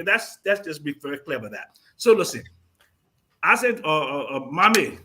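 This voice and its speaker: Male caller, combative, high and bright tone. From Ghana. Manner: combative, talks over interruptions. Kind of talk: heated call-in debate.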